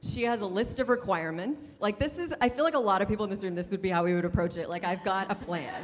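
A woman's voice: mid-range voice, fast talker, a little hiss or hum. A woman chatting casually and enthusiastically about books as co-host of a podcast, speaking informally to a live audience. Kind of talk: casual book chat before a live audience. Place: indoor hall at a live podcast event. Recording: echoey hall, live event.

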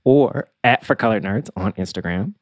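A man's voice: on the low side, moderate pace, dry recording. An American man co-hosting a podcast, speaking casually and conversationally into a close microphone. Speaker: podcast co-host.

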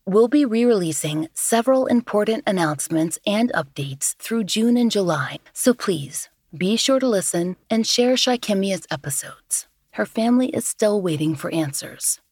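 A woman with a deeper, lower voice, hosting a podcast and giving calm, measured scripted narration. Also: slightly rough, no echo, clean studio sound. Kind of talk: scripted true-crime narration.

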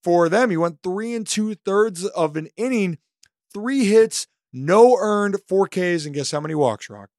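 A man co-hosting a sports podcast, his voice slightly hoarse, talking through pitching stats and game analysis in animated bursts. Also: measured pace, no echo, studio quality. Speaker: co-host.

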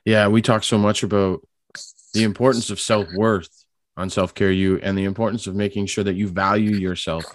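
Male host, low-pitched and relaxed, encouraging tone; dry, close recording. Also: no room sound. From Canada. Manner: easygoing, encouraging, many "you know" and "right?". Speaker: podcast host. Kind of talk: casual interview chat.

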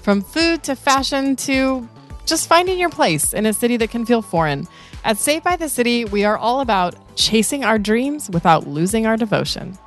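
A somewhat high-pitched woman hosts a podcast with an upbeat, playful delivery. She moves from a scripted opening into easy conversation with her guest. Soft music sits faintly underneath. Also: expressive, moderate pace. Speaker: podcast host. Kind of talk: scripted podcast intro, then conversational interviewing.

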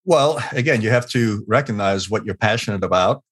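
A middle-aged man from Hungary speaks in a fairly low voice. He is a guest telling a story in a relaxed interview, full of "you know" and small restarts. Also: a little fast, breathy edge. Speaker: guest, leadership expert.

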